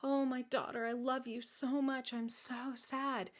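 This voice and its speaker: A woman's voice: sits high, little pitch movement, measured pace, fairly bright tone, voice pushed up. A doting old king played by a woman storyteller, heavy with sorrow and tenderness. He speaks to his child in a sentimental, tearful way, as in a storybook tale. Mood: sorrowful. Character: sad, loving old king, doting father.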